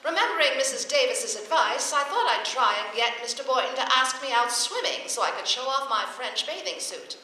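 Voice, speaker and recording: A woman playing a wry, quick-witted American schoolteacher in old-time radio comedy, with crisp, dry delivery. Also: sits high, animated, bright, forceful tone. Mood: sly, dry. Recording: echoey, faintly noisy stage recording.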